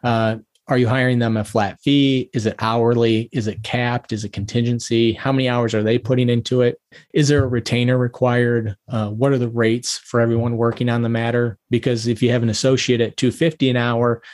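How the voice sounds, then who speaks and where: Guy lawyer on a podcast, explaining fees step by step, mid pitch. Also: flat delivery, fairly quick, darkish tone. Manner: methodical, lists questions one after another. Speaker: attorney guest. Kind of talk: interview answers, advising.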